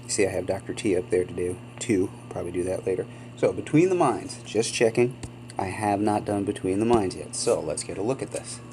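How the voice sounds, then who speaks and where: A man's voice: low voice, lively intonation, brisk, mellow, a little hiss or hum. A man hosting a gaming channel, sniffly from a cold, chatting casually and rambling with many ums and apologies. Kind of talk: casual gameplay commentary.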